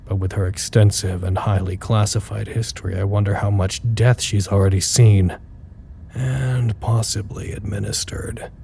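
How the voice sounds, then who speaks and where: An actor playing a brooding, wary facility staffer recording his observations in an audio drama, measured and ominous with dry wit. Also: on the low side, little pitch movement, creaky, dark tone, slight background noise. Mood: wary, pensive. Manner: measured, ominous, dryly wry.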